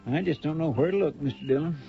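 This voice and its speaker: An actor playing an earnest, loyal deputy sidekick in a 1950s radio western, on a muffled old broadcast recording. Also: male voice, fairly high pitch, fast talker, creaky, soft background music. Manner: plain-spoken, earnest.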